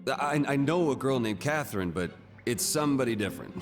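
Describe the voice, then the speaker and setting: A man's voice: mid-range voice, expressive, fairly quick, natural voice. An actor playing a flustered, awkward young man, the game's hero, who stammers often. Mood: defensive. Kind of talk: video game cutscene voice acting. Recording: game audio with background music.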